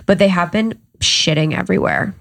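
A woman's voice: lowish voice, big pitch swings, fairly quick, a bit bright, no echo. A young woman co-hosting a podcast, chatting casually and laughing while she sounds stuffed up and congested. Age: young adult. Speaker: podcast co-host. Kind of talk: casual chat.

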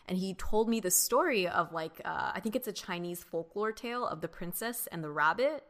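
A young woman from the United States, co-hosting a podcast and telling childhood stories in a relaxed, reflective way, with many fillers. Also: fairly low pitch, normal speed. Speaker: podcast co-host. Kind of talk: casual chat.

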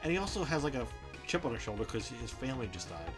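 Male co-host for a casual podcast, mid-range voice, informal banter, clear music bed. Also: medium pitch, sing-song, quick pace.

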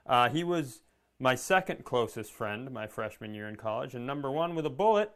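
A man's voice: medium pitch, expressive, normal speed. Wry, rambling male comedy podcaster. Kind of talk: casual comic chat.

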